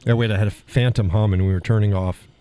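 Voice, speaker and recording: A man hosting a live stream in casual, rambling chat, with a slight gravel in his voice and chuckles between remarks, recorded in a home studio through a mixer board. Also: fairly low pitch, steady tone, fast, warm tone. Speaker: live show host. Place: home studio in a weight room. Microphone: studio mic through mixer board.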